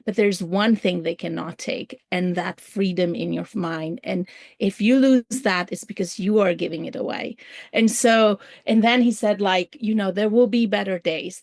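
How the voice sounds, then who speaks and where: A middle-aged woman from Albania speaks with an Albanian accent as a podcast guest. Her voice sits a little low and is recorded very dry. She tells personal stories in a conversational way. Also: no echo. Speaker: podcast guest, ministry field coordinator. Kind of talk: conversational personal storytelling in an interview.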